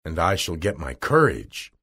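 Man as a timid storybook lion, pitched low. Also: sing-song, moderate pace, fairly bright tone, slightly rough, exaggerated voice. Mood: hopeful. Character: Cowardly Lion, a timid beast longing for courage.

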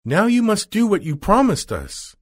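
A man's voice: sits high, sing-song, normal speed, pitched above the performer's own voice. A man voicing Dorothy as an earnest, eager young girl in a children's storybook. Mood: insistent.

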